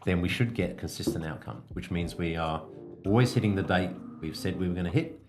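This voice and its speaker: Male podcast coach, low-pitched, instructive and measured delivery, explanatory style, faint music underneath. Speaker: podcast host, business coach.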